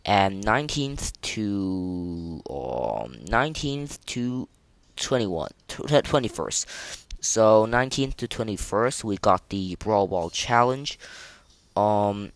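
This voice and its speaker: A man with a fairly low voice hosts a gaming podcast in a close, dry sound. He talks informally and off the cuff, often halting and repeating himself as he goes. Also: big pitch swings, no room sound. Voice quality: voice that cracks now and then. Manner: halting, repetitive, informal delivery. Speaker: podcast host. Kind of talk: casual unscripted gaming podcast.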